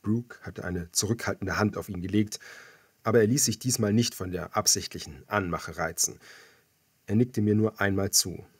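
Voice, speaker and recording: A man reading scripted first-person thriller narration as an audiobook narrator, measured and dramatic. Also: lowish voice, fairly even intonation, breathy edge, dry recording.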